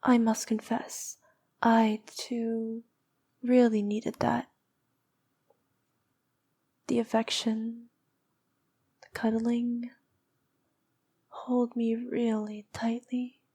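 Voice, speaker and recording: A woman playing a noble but needy vampire princess in an intimate ASMR roleplay, speaking softly and often whispering, cooing and possessive. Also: fairly high pitch, level tone, exaggerated voice. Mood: needy, sleepy, intimate. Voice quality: soft, breathy, often dropping to a whisper. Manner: slow, cooing, possessive, coaxing.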